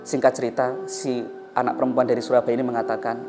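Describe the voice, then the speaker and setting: A man's voice: mid-range voice, flat delivery, fast. Javanese-accented male preacher over music. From Indonesia. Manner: emphatic, repeats phrases and asks rhetorical questions. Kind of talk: storytelling sermon.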